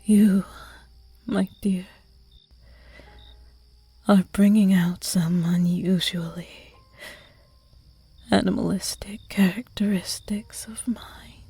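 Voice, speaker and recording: A woman voicing a seductive, predatory female vampire who teases her prey in slow, close, breathy whispers in an ASMR roleplay. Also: mid-range voice, steady tone, warm tone, no room sound, heavily acted. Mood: seductive teasing.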